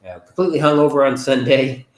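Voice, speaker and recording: A man's voice: mid-range voice, steady tone, quick pace. A middle-aged man with a cold-stuffed voice, hosting his podcast in a blunt, rambling casual chat. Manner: blunt, chatty, rambling with fillers. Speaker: podcast host. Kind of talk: casual livestream monologue. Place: home setup with green screen. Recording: roomy echo.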